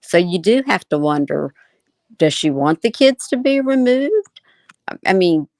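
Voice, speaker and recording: A woman's voice: lowish voice, dry recording. A woman giving casual commentary, repeating her points and asking rhetorical questions. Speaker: video commentator. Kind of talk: casual commentary reacting to a clip.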